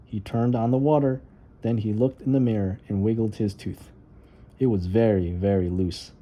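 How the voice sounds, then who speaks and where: A man's voice: lowish voice, steady tone, normal speed, highs cut off. A man narrating a children's storybook in a read-aloud style. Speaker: storybook reader.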